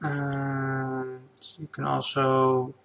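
A man whose first language is Dutch, talking through a software tutorial at his computer in a relaxed, plain step-by-step way. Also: medium pitch, extremely slow, gravelly. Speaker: game asset creator.

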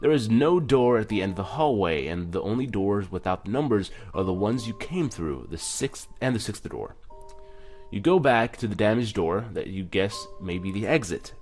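A man's voice: lowish voice, lively intonation, moderate pace, darkish tone, soft background music. A man hosting a gaming video in a casual, chatty, self-deprecating way, reading text aloud with stumbles. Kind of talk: casual let's-play commentary with reading aloud.

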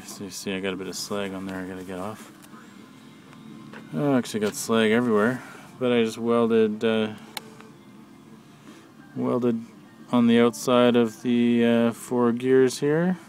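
A man talking casually off the cuff as an amateur mechanic, in a plain-spoken, halting, matter-of-fact way while filming with a handheld camera. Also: fairly low pitch, darkish tone. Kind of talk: casual off-the-cuff how-to narration. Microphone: handheld camera, speaker behind it.

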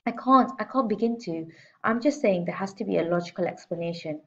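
A woman's voice: mid-range voice, a little fast, fairly bright tone, lightly acted. Weary middle-aged father, voiced by a woman, skeptical, exasperated, dull audio.

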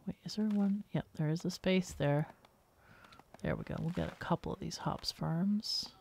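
A woman's voice: on the low side, moderate pace, creaky, darkish tone, slight background noise. A woman hosting a gaming video, casually thinking aloud and explaining as she plays. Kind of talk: casual gameplay commentary.

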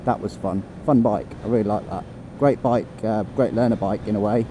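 A man's voice: fairly low pitch, brisk. A man vlogging casually while riding a motorcycle on country roads, rambling and chuckling to himself. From England. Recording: wind and engine noise from riding.

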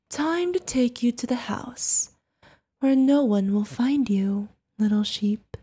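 A woman's voice: fairly high pitch, dark tone, husky. A woman voicing an insane, sadistic female yandere killer in an ASMR roleplay, creepy and giggling, with teasing, singsong threats. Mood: quietly menacing. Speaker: voice actor.

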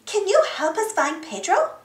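Woman as a high-voiced, eager little girl adventurer. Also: sing-song, moderate pace, roomy sound, far from the mic. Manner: emphatic, calling out.

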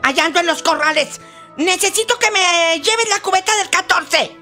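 Woman acting a shrill scolding Mexican grandmother. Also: normal speed, sharp tone. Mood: bossy, mocking. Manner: scolding, exclamatory, mocking. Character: scolding, bossy old ranch grandmother. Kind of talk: comedic radio soap acting. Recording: music behind the voice.

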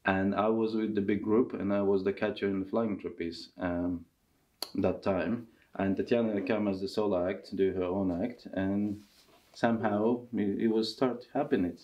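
A man in his thirties with a Russian accent, talking casually in halting English during a seated interview in a costume workshop. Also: fairly low pitch, steady tone, darkish tone, dull audio. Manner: halting non-native English with restarts. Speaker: circus performer and tent master.

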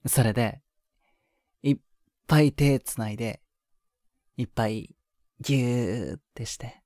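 Man playing a slow, tender young boyfriend. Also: medium pitch, a little mellow, no echo, studio quality, close to an everyday voice. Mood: wistful, tender. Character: affectionate, clingy young boyfriend, tender and longing. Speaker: voice actor. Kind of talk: intimate boyfriend roleplay audio drama, addressed to the listener.

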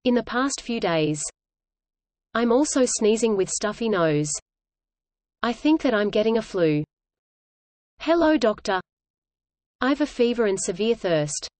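A woman with a fairly high voice reads a scripted English-lesson dialogue, steady and measured, each line repeated for listeners to follow. The sound is dry and close, with no room around it. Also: dry recording.

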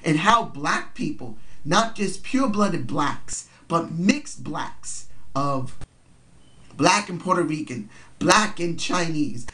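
A young man talks straight into his webcam from a cramped bedroom, his voice stuffy and congested from a cold. He sits fairly high in pitch for a man. He lays out his opinions earnestly and circles back to restate them for emphasis. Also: lively intonation, slow pace, pushed and bright, slight background noise. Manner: earnest, repeats points for emphasis. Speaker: vlogger. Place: small cluttered bedroom indoors. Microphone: built-in webcam mic.

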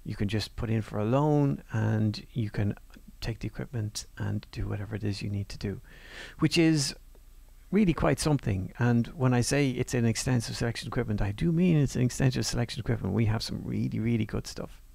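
A man giving a university course presentation over slides in a relaxed, conversational way, with fillers and restarts. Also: mid-range voice, fairly quick, gravelly, breathy edge, dry recording. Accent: non-Northern Irish.

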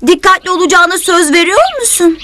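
A woman's voice: very high voice, animated, fairly quick, bright, forceful tone, heavily acted. A woman voicing an earnest, anxious young boy in a dubbed cartoon, with an emotional manner. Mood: worried. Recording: music behind the voice.

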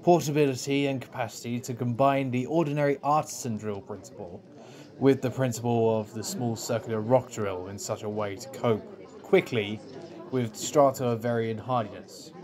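A man's voice: medium pitch, steady tone, moderate pace, a little mellow, natural voice. A man playing an affable engineering professor in an audio drama, chatty and keen to explain things thoroughly. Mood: brisk, instructive. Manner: chatty, instructive.

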